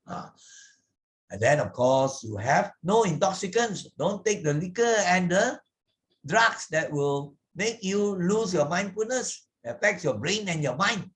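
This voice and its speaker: A man with a Malaysian accent giving an explanatory moral lesson as a teacher, deliberate and didactic, stressing and repeating key words. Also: sits high, animated, a bit bright. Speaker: Buddhist Sunday school teacher.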